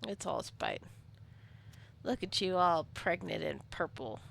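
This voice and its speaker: A woman's voice: lowish voice, slow pace, gravelly, a bit bright, slight background noise. A woman casually narrating her own video game play, rambling into asides and playfully scolding the characters as she goes. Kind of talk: casual gameplay commentary. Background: life simulation game being played.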